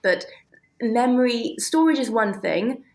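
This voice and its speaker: A woman being interviewed, explaining ideas reflectively and haltingly as she thinks aloud. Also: a little high, lively intonation, darkish tone. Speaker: interview guest, author.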